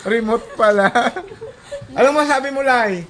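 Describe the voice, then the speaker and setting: A woman's voice: fairly high pitch, unhurried. A woman with a Filipino accent chatting casually and coaxing with short, repeated instructions. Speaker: vlogger running a prank.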